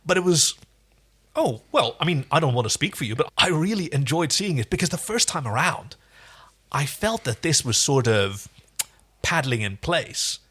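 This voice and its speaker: A man's voice: a little high, very animated, normal speed, fairly bright tone, husky. A man co-hosting a podcast, giving casual, analytical and enthusiastic commentary on a television show. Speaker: podcast co-host.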